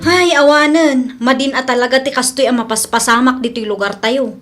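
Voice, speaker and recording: Actress as gossipy village woman, high-pitched, aggrieved, drawn-out complaining, radio comedy. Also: fairly bright tone, soft background music. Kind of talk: comedy radio drama acting.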